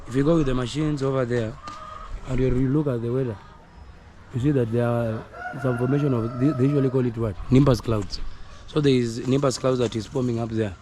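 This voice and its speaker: Hoarse Kenyan farmer, earnest, repetitive coach; mid-pitched voice, roosters and farm noise behind. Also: male voice, fairly even intonation, brisk, dark tone. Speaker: poultry farmer. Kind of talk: casual instructional vlog. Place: outdoors on a poultry farm. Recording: noisy outdoor recording with roosters crowing.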